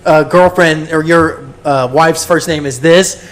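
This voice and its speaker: A man co-hosting a casual podcast, excitable and slangy, chiming in and repeating phrases for emphasis. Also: a little high, measured pace. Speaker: podcast co-host. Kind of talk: casual chat, interjecting.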